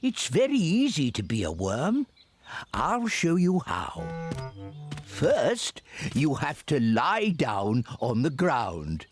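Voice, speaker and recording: An older man voicing a kindly, playful grandpa pig in a children's cartoon, with a gentle, chuckling manner. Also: fairly high pitch, huge pitch swings, slow pace, music in the background, exaggerated voice. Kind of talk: cartoon voice acting.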